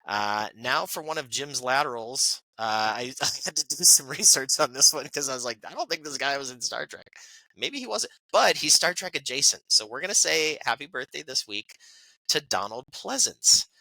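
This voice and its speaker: Podcast co-host, male, bright and chatty, reads from notes with asides, dry close sound. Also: mid-range voice, animated, normal speed, creaky, no room sound, poor audio. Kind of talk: casual birthday segment reading.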